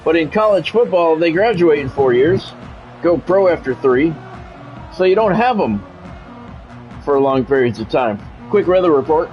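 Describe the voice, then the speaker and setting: A man's voice: a little high, lively intonation, moderate pace. A man giving casual, rambling, conversational commentary as he hosts a football video game stream. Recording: dull lo-fi audio with music behind.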